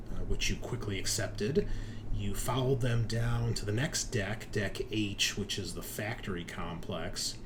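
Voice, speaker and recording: Male game master, lowish voice, conversational improvised storytelling for a tabletop session, noticeable background noise. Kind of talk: recapping and narrating a tabletop roleplaying session.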